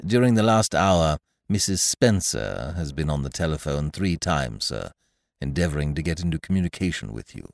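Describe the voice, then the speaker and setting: A man voicing an unflappable male valet who speaks quietly, formally and deferentially. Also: rumbling low, big pitch swings, moderate pace, creaky, no room sound, studio quality, pitched below the performer's own voice, full character voice. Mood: calm.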